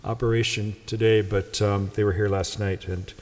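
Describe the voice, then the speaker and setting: A man's voice: on the low side, little pitch movement, normal speed, slight background noise. A man in his sixties, a pastor with an Upper Midwest American accent, reading the Gospel and then giving wry, conversational welcome remarks from the lectern. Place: large church sanctuary. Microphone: lectern microphone. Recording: long church reverb.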